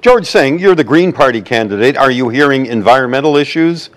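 An older man moderating a televised debate in a studio, briskly cutting in with questions. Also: medium pitch. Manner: brisk, interjecting, lively intonation.

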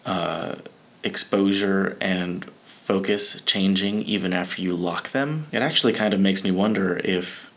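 A young man in his twenties talking casually into a phone camera in a dark room at night, grumbling in a low-key way. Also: unhurried. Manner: complaining, low-key late-night talk. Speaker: vlogger. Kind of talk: casual daily vlog. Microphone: phone camera held close.

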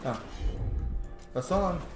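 A man's voice: sits high, expressive, extremely slow. A man in his thirties giving casual game commentary, musing aloud to himself as he plays. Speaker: gamer streaming a roleplay playthrough. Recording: roomy echo, game music underneath. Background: video game music.

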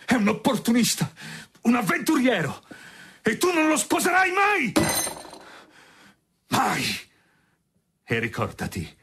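A man's voice: very high voice, wildly swooping pitch, slow, creaky, long pauses, fairly bright tone, slightly rough, heavily acted. An actor playing a stern, possessive middle-aged lawyer father in an Italian radio drama, curt and authoritarian. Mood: furious.

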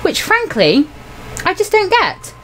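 A woman's voice: very high-pitched, wildly swooping pitch, takes it slowly, a bit bright, slight background noise, rough recording. A woman reading a visual novel aloud as a let's play host, breaking off into playful, casual asides. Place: indoor room at home.

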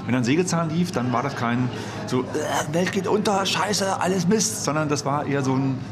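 Guy reminiscing in an interview, blunt and kinda halting, casual wording, really loud music under him. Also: on the high side. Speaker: interviewee recalling the techno scene. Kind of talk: spontaneous interview recollection.

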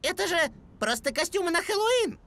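A man voicing a high, bouncy green cartoon creature. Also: very animated, fairly quick, a bit bright, heavily acted. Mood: excited. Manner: bouncy, exclamatory, eager. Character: small green wish-granting creature, fussy and excitable. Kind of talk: cartoon dub acting.

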